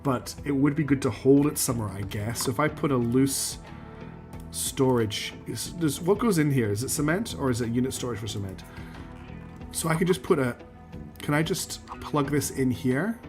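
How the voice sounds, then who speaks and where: A man streaming a factory-building game, losing his voice and clearing his throat, talking himself through each step and answering chat. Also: medium pitch, animated, moderate pace, faint music behind. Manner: talks himself through building steps, counts out loud, asks himself questions; answers chat messages as he plays. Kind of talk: live gameplay commentary, thinking aloud.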